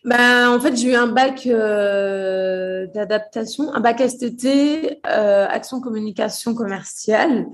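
Hesitant woman, higher voice. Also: slow, few pauses. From Portugal. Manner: hesitant, many fillers and restarts. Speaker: guest. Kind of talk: casual interview chat.

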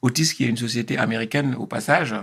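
A man's voice: mid-range voice, fast talker, vocal fry, a bit bright, slightly rough. A man in his fifties from Senegal, answering interview questions in a hesitant way, with frequent fillers and restarts. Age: 50s. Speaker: guest.

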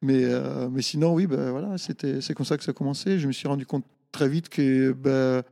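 A middle-aged man from Italy, a native Italian speaker, answering casually in an interview with halting, hesitant phrasing. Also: mid-range voice, steady tone, gravelly, warm tone, long reverb tail. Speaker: interview guest, ultra-trail runner.

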